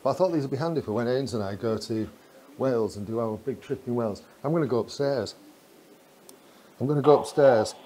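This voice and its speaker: A man's voice: mid-range voice, brisk, dark tone. A man giving a casual, chatty running commentary as he films a gear test indoors. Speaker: vlogger testing walkie-talkies. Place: corridors inside a residential building. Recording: clear background noise.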